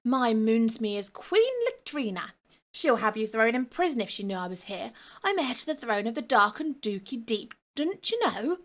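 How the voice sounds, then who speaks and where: A woman's voice: big pitch swings, normal speed. A woman voicing a grumpy, whiny little male goblin ghost prince in a storybook reading. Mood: whiny, indignant. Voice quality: whining, high and shrill.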